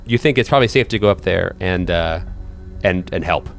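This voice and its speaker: A man working as game master, improvising horror narration in a halting way, often repeating words as he thinks aloud. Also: low-pitched, vocal fry, soft background music.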